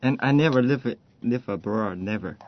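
A man's voice: medium pitch, moderate pace, no echo, highs cut off. A man whose first language is Cantonese, a podcast guest giving short, careful, hesitant answers in English.

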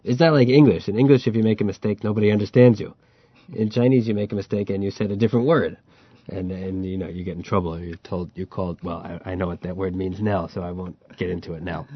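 A man chats casually as a podcast host, spinning anecdotes and laughing as he goes. His voice sits very low and sounds close and dry. The sound is a bit dull. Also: fairly even intonation, quick pace, hardly any pauses, dry recording. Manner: chatty, storytelling, laughs while telling. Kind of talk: casual chat about learning Chinese.

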